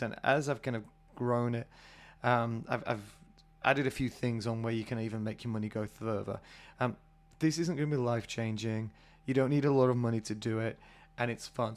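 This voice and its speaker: A British man hosting a casual solo podcast, husky from a cold, chatty and rambling with many fillers. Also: medium pitch. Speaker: podcast host.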